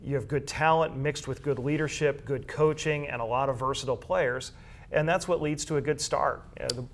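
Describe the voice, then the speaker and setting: A man discussing high school sports in a conversational way, explaining at length with measured, slightly halting phrasing. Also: fairly even intonation, slight background noise.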